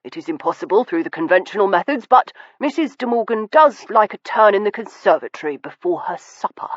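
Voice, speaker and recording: A woman voicing a stiff, nervous male butler who speaks formally and low, on a dull, dry recording. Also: expressive, a little fast, dry recording, natural voice. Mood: conspiratorial.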